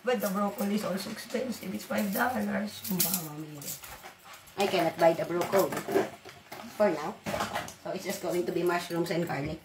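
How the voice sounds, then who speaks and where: Woman, Filipino accent, native Waray speaker, low voice, blunt, swears, rambling home cooking vlog, far from mic, loud frying noise. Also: moderate pace. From Philippines. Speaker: vlogger. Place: home kitchen. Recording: echoey room from far off, loud sizzling.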